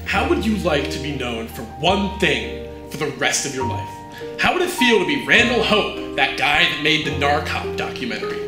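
A man's voice: a little high, big pitch swings, normal speed, echoey room, recorded from a distance, music in the background. A man playing a vain, explosive washed-up movie actor in a mockumentary comedy, with a pompous, indignant manner. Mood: bitter. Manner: pompous, indignant, self-important.

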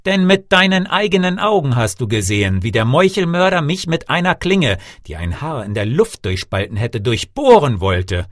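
A man voicing an excitable, theatrical fairy-tale king in an audiobook reading. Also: on the high side, normal speed, hardly any pauses, dry recording, studio quality, voice pushed up. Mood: indignant. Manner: theatrical, exclamatory, loud when alarmed. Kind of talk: storybook character voice in audiobook reading.

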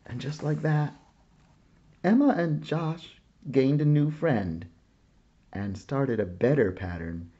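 A man reading a children's picture book aloud in a slow, expressive storytelling manner. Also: medium pitch, husky, muffled recording.

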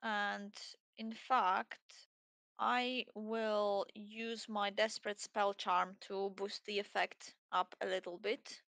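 A young woman speaks at a slow, measured pace with noticeable vocal fry. Her sound is dry, and she talks casually through her turn in a tabletop roleplaying game. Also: medium pitch, little pitch movement, a bit bright, dry recording. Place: indoor room, online video call.

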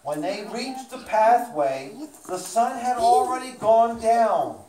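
A woman narrates a children's puppet show at a slow, measured pace. She is heard from a distance in an echoey room with faint noise. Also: fairly high pitch, animated, crowd noise.

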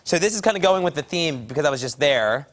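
A man's voice: a little high, expressive, fast, a bit bright. A young man co-hosting a morning TV show, easygoing and a little flustered, on a lapel mic in a studio. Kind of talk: casual studio banter. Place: TV studio set.

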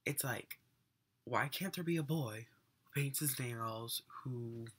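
A man's voice: mid-range voice, takes it slowly, a bit bright, breathy edge. A teenage boy rambling earnestly to a webcam in his bedroom, still getting over being sick, with lots of fillers and restarts. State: recovering from illness, voice slightly off. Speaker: vlogger. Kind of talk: casual webcam rant. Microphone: webcam at desk.

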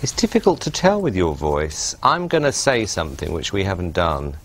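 A man judging a singing audition, blunt and teasing, swinging from dry remarks to loud bursts. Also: low-pitched, sing-song, normal speed, a little hiss or hum. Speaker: talent show judge.

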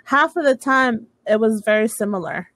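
A woman's voice: fairly high pitch, normal speed, fairly bright tone, no echo. A woman in her thirties from Texas, tutoring English in a casual video call lesson over a headset, encouraging and asking follow-up questions. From United States, Texas. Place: room at home, indoors.

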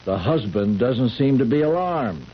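An actor playing a skeptical, methodical sheriff in 1970s radio drama, pointed and deliberate. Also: male voice, mid-range voice, expressive, breathy edge. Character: skeptical, methodical male sheriff questioning a suspect. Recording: dull, noisy 1970s radio recording.

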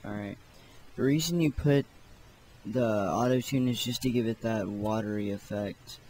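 A man narrating a software tutorial in a casual, offhand, unhurried way. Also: on the low side, a little hiss or hum, low-quality recording. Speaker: tutorial host.

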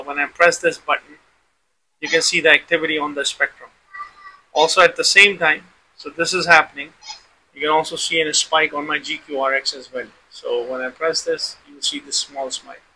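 A man with a very bright, sharp, slightly high voice walks through a software demonstration. He explains informally, step by step, circling back over his phrases. The recording has faint background noise. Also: fairly even intonation, normal speed, rough recording. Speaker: tutorial host.

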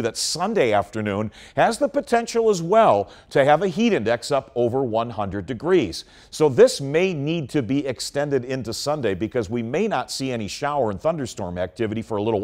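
A man's voice: medium pitch, a little mellow. A middle-aged American man presenting a live weather forecast in an emphatic, explanatory manner. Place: TV studio in front of weather screen.

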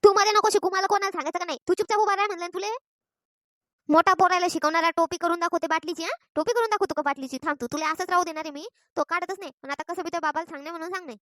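Lady doing a naggy village granny for a cartoon, high and super quick, rural maharashtra accent, dry sound. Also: no echo. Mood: scolding. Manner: sharp, bossy, nagging. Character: scolding, exasperated village grandmother. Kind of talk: Marathi cartoon comedy dialogue.

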